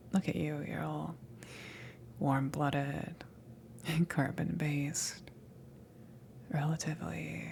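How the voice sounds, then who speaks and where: A woman voicing a friendly, flustered alien ship crewmember in a soft ASMR roleplay, speaking in a hesitant, breathy half-whisper. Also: deep voice, slight background noise, exaggerated voice. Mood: awkward, chatty. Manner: hesitant, awkward, giggly, halting.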